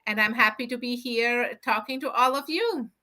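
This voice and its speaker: A woman's voice: on the high side, moderate pace, sharp tone. A woman from Switzerland with a Swiss German accent, speaking over a video call as a panelist, polite and composed.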